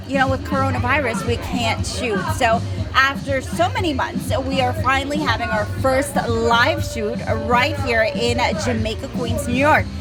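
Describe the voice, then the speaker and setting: A woman hosting her show outdoors in a backyard, chatty and upbeat as she interviews a guest. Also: high voice. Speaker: host. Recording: loud music and crowd behind.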